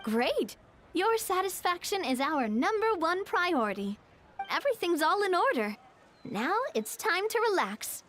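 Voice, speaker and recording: A woman's voice: very high-pitched, big pitch swings, unhurried, a bit bright, full character voice. A woman playing a theatrical, exuberant young female director with flamboyant delivery in an anime game. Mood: proud, cheerful. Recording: music behind the voice.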